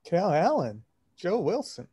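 A man with a slightly high-pitched voice talks casually and informally, with a joking manner. The sound is very dry, with no room around it. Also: wildly swooping pitch, measured pace, warm tone, breathy edge, no room sound. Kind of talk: casual chat.

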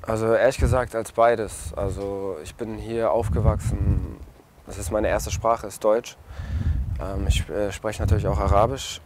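A young man from Germany answers questions outdoors at a sports ground in a loose, easygoing way. His voice sits on the low side and he often pauses with fillers. Steady outdoor noise runs behind him. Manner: relaxed, many fillers and restarts. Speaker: interviewee, footballer. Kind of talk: casual interview answers. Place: outdoors at a stadium and a café terrace.

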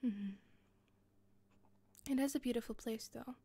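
A woman with a softened Scottish accent playing an attentive hostess in a roleplay, speaking softly into a close mic with frequent giggles and whispers. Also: fairly high pitch, unhurried, husky, no echo. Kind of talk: listener-directed roleplay.

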